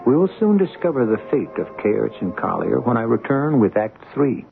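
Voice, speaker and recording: A man hosting a 1970s radio drama with scripted narration in a gravelly voice. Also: mid-range voice, very animated, normal speed. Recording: narrow-band radio broadcast over music. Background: music.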